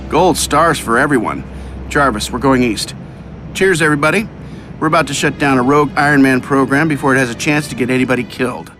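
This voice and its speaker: An actor playing a wisecracking armored superhero in a video game, American, with a breezy, sardonic delivery. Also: male voice, mid-range voice, brisk, music in the background, close to an everyday voice. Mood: breezy, cocky. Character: cocky, wisecracking male armored superhero inventor.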